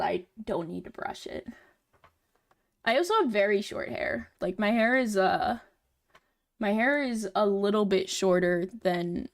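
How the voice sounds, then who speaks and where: Chatty young woman streamer. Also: mid-range voice. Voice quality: feminine voice. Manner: rambling, filler-heavy, chatty. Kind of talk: casual chat while working on a model.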